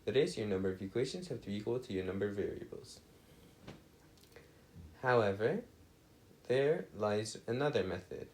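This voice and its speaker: A man's voice: lowish voice, animated, normal speed, long pauses, a little mellow, slight background noise, low-quality recording. A man giving a maths lesson as a tutor, working aloud in a hesitant, self-checking way with formal, slightly old-fashioned phrasing.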